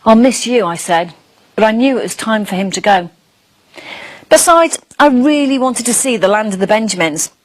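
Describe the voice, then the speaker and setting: A woman voicing a curious, friendly young schoolchild dragon who narrates a storybook with wide-eyed, expressive emphasis. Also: fairly high pitch, normal speed, lightly acted, low-quality recording. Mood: tender.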